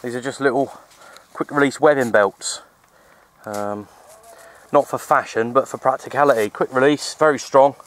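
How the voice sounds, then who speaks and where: A man giving casual, halting, unscripted commentary for an outdoor hobby vlog, recorded on a handheld camera. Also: medium pitch, fairly bright tone. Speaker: vlogger, CB radio hobbyist. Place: open hillside under trees, outdoors. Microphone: handheld camera microphone.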